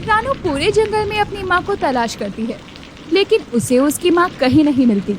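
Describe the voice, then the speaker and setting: A woman reads a children's cartoon tale in a high, animated voice. Her scripted narration rises and falls expressively over faint background noise. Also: normal speed. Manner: expressive, storytelling.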